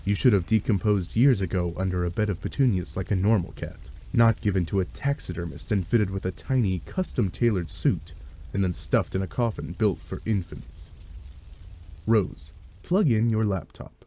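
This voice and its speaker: A man's voice: sits low, normal speed, dark tone. A man reading scripted second-person webcomic narration with wry, dry asides. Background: music.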